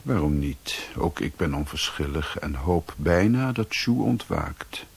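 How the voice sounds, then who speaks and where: A man's voice: rumbling low, lively intonation, gravelly, husky, heavily acted. An actor playing a brooding European drifter who narrates his own story in a radio play, introspective and literary in delivery. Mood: brooding, detached. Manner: slow, introspective. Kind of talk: first-person literary radio drama narration.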